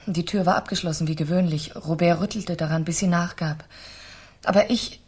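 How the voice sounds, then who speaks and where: An actress playing an anguished, pleading French wife confessing in a German radio crime drama, with a halting, urgent delivery. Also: female voice, fairly low pitch, steady tone, a little mellow, breathy edge.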